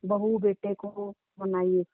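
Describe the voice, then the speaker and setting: Tinny phone audio, young woman played by an actress, aggrieved, insistent, complaining, repetitive, monotone delivery, phone drama. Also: medium pitch, takes it slowly, no room sound, lightly acted. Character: aggrieved, insistent young divorced woman confronting older lover. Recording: muffled, narrow tinny phone line.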